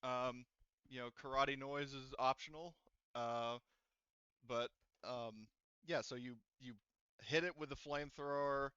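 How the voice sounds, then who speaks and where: A man's voice: medium pitch, fairly even intonation, unhurried, bright, forceful tone, clean tone, dry recording, lo-fi sound. A man running a tabletop roleplaying game as game master, rambling through rules with many fillers. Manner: rambling, filler-heavy, laughs often.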